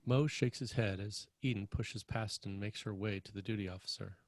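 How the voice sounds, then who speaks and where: A man reading screenplay stage directions as scripted narration, with a husky, gravelly voice. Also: fairly low pitch, fairly even intonation, moderate pace, no room sound, clean studio sound.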